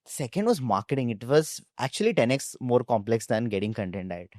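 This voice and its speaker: A man's voice: mid-range voice, sing-song, brisk, a little mellow, no echo, clean studio sound. A man with an Indian accent, a startup founder answering conversationally in an interview.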